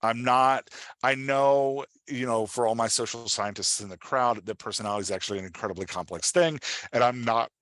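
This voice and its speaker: A man giving an informal workshop as a writing coach, talking in an animated, conversational way and often checking in with his listeners. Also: medium pitch, fairly quick, no echo. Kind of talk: informal online workshop presentation.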